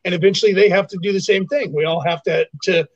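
A man with a high voice co-hosts a podcast, reflecting and explaining at length. His audio sounds dull and lo-fi, with a dry, close sound. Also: steady tone, quick pace, no echo. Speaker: podcast co-host. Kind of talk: casual podcast conversation.

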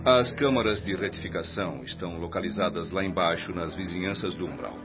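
A man playing a caring, authoritative hospital supervisor in a radio drama, measured and instructive, with a Brazilian accent. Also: low voice, natural voice. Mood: calm, explanatory. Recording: dull radio-drama sound, music behind.

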